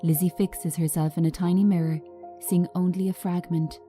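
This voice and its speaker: A woman giving a scripted narration of screenplay directions in a measured, understated manner. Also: fairly low pitch, flat delivery, mellow, faint music behind.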